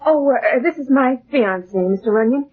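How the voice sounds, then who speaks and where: An actress playing an anxious, polite young woman, hesitant and earnest, with a breathy voice in a vintage radio drama. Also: high voice, lively intonation, normal speed. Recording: tinny, thin vintage radio recording.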